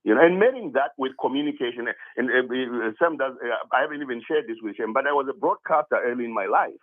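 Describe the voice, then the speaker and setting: A man's voice: mid-range voice, quick pace. A man from Zimbabwe calling in to a radio show. He talks eagerly, stumbling into restarts and repeated words as he explains his ideas, heard through a narrow, tinny phone line. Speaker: caller, app founder. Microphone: telephone.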